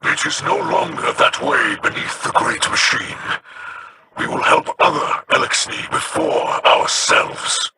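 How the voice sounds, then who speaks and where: A man's voice: a little high, huge pitch swings, unhurried, gravelly, background noise, heavily acted. An actor playing a solemn, formal male alien leader who gives grave commands.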